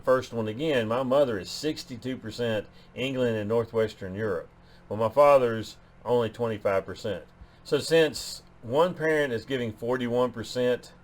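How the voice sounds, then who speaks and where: A man hosting a genealogy channel, talking through his DNA numbers in a loose way, often restarting phrases. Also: fairly low pitch. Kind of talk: explaining DNA results on screen.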